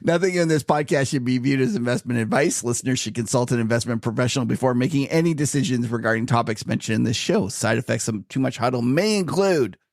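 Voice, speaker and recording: A man's voice: mid-range voice, vocal fry, darkish tone, no echo, studio quality. A man co-hosting a podcast and interviewing a guest, chatty and enthusiastic. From Canada. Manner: chatty, enthusiastic, quick to laugh. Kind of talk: casual podcast chat and interview.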